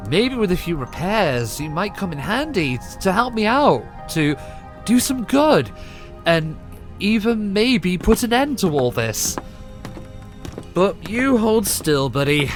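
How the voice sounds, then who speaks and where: A man's voice: sits high, very animated, slow, faint music behind, exaggerated voice. A man playing a folksy, resourceful working man with a rebel streak in an audio drama. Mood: kindly, relieved. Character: rough kindly rebel workman, defiant, resourceful.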